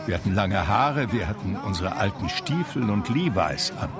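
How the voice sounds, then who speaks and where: An actor playing an aging man who recounts his memoir in a measured, reflective literary manner for a radio drama. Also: fairly low pitch, lively intonation, over music. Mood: reminiscing. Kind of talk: literary first-person radio drama narration.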